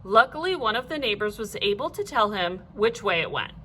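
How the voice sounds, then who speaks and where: A woman reading a children's storybook aloud like a teacher, in an animated, clearly phrased way. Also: medium pitch, brisk.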